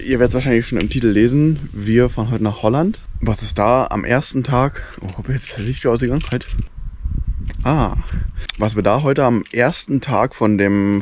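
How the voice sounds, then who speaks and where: A young man from Germany vlogging casually to camera, talking colloquially and haltingly as he searches for words. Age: young adult. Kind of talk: casual vlog talk to camera. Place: outdoors at night and inside a van. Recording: muffled, loud vehicle and outdoor rumble.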